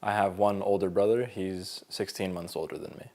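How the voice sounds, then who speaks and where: A man in his twenties from Colorado, talking thoughtfully in a casual interview, pausing with fillers as he finds his words. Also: low-pitched, fairly even intonation, a little mellow. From Colorado, USA. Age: 20s. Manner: thoughtful, hesitant, many fillers. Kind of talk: casual interview, personal story.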